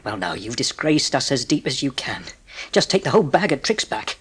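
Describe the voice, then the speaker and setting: An actor playing a proud, prickly English railway porter with a working-class accent and a blustering, plain-spoken manner, in a dramatised audiobook. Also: male voice, medium pitch, very animated, brisk, breathy edge. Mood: indignant.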